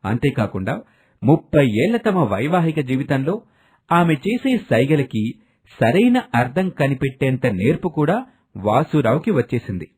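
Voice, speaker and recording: A man's voice: medium pitch, very animated, moderate pace, darkish tone, breathy edge. A man narrating a scripted family story as a storyteller.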